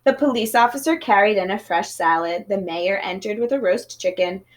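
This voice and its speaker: A woman reading a children's picture book aloud in an expressive storytelling manner. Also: mid-range voice, moderate pace. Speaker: storybook reader.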